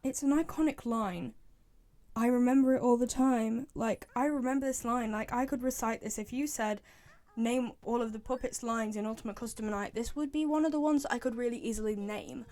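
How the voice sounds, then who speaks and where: A woman's voice: fairly high pitch, moderate pace, warm tone. A woman chatting casually and giving opinions as a fan commentator, rambling and repeating herself for emphasis. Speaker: fan commentator ranking game voice lines.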